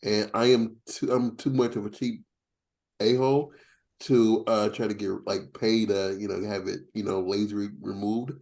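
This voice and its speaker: A man's voice: lowish voice, flat delivery, moderate pace, vocal fry, darkish tone. A forty-year-old man chatting casually on a podcast, rambling and poking fun at himself. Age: 40s. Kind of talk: casual chat.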